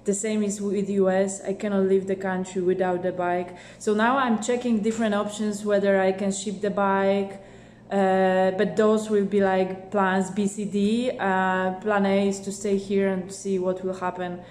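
A woman's voice: mid-range voice, measured pace. A middle-aged woman giving a casual, hesitant video update to camera, thinking aloud as she goes. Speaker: motorcycle traveller. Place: small bare indoor room. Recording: roomy echo with steady noise.

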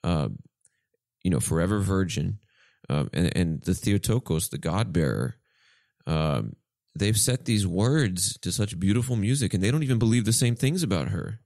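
Deep-voiced young man, dry close mic. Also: animated, normal speed, creaky, darkish tone, dry recording. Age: 20s. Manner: thoughtful, explanatory, with restarts. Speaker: podcast co-host. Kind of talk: casual podcast chat, storytelling.

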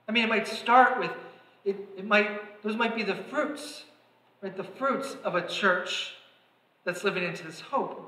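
Earnest bright-voiced man preaching in a sanctuary. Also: sits high. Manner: earnest, rising to emphatic exhortation, gesturing. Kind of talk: sermon. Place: large church sanctuary, at a pulpit. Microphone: pulpit mic. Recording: echoey sanctuary reverb.

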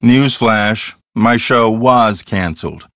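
A man's voice: lowish voice, takes it slowly, a bit bright, dry recording, clean studio sound. A man playing a cocky, earnest TV ghost hunter in a video game, explaining things in a theatrical, self-assured way. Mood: smug.

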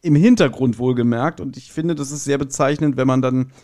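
Mellow-voiced man chatting as podcast guest. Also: mid-range voice, fairly quick. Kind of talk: casual review chat.

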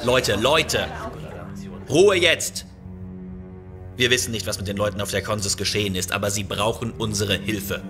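Man as starship captain for sci-fi radio play: low voice, decisive, matter-of-fact, music underneath. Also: moderate pace, pauses often, close to an everyday voice. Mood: firm command. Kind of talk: audio drama acting in a sci-fi thriller.

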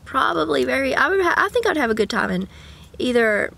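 Young woman vlogger, a bit sick with a cough, gravelly fry, rambling chat to a handheld camera in her car. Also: high-pitched, big pitch swings, a little fast, fairly bright tone. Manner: rambling, chatty, with sing-song asides. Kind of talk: casual chat. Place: parked car. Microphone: camera at arm's length.

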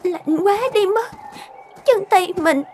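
A very high, girlish cartoon voice for a sweet, timid little girl. She speaks politely and earnestly, with music playing behind her. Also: takes it slowly, darkish tone. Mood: sad. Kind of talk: cartoon voice acting. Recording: background music under the voice.

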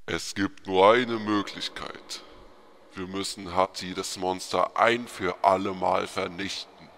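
A man voicing a brave, solemn knight who declaims gravely in a storybook tale. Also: low voice, steady tone, slow, a bit bright, voice pushed down, full character voice. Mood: grim resolve. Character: brave, resolute male knight, solemn and heroic.

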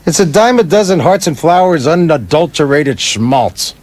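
An actor playing a cynical, wisecracking veteran screenwriter in a radio play, sardonic and needling. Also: male voice, on the high side, expressive, normal speed, darkish tone.

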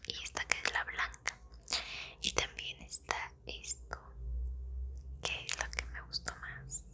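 Young mexican girl in her 20s doing asmr, slow breathy whisper right up on the mic, casual chatty. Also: extremely low pitch. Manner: soft-spoken, intimate, unhurried. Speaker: ASMR video creator. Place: small indoor room at home. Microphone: close microphone.

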